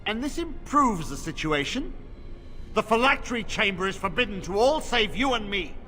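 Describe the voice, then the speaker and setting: An actor playing a stern, suspicious templar knight commander in a fantasy game, formal, commanding and accusatory. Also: male voice, high-pitched, big pitch swings, normal speed, bright, forceful tone, full character voice. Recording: reverberant game dialogue with music.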